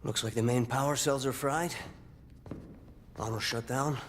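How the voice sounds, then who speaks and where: A man's voice: medium pitch, fairly even intonation, a little slow, a little hiss or hum, natural voice. A man playing a desperate, emotional military pilot in a cinematic video game, speaking with raw urgency. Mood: anxious, pleading. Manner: urgent, anxious muttering and pleading.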